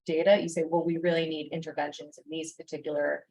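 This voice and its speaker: Very low-voiced woman on a panel. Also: level tone, fast, darkish tone, poor audio. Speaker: conference panelist. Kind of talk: spontaneous explanation on a panel.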